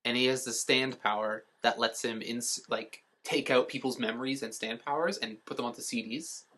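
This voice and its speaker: A man's voice: medium pitch, fairly even intonation, normal speed, sharp tone. A man co-hosting a podcast, explaining things in a casual, rambling way with offhand swearing. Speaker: podcast co-host. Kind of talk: casual chat.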